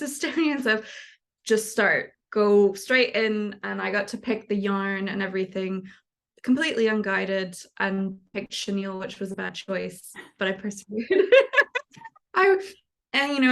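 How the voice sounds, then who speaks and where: A woman's voice: mid-range voice, vocal fry. A young adult woman from the United States, a knitwear designer, talking casually in an interview with a rambling manner. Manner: relaxed, rambling, frequent fillers and light laughs.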